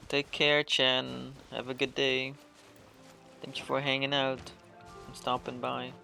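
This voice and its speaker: A man chats casually on a game stream, his voice bright and gravelly with fry. He sounds offhand as he talks to viewers, with faint music in the background. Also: mid-range voice, monotone, slow. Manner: offhand, greets viewers as they chat. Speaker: livestreamer.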